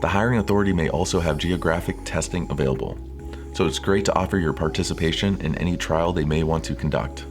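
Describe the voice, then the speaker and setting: Male narrator, extremely low voice, scripted coaching-video read, measured and formal, clear music bed. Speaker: presenter of interview coaching videos.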